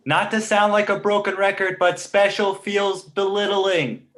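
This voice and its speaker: A man playing a precocious talking baby boy, sardonic, indignant and pedantic, in an animated comedy table read. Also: high-pitched, measured pace. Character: precocious, snarky two-month-old talking baby boy.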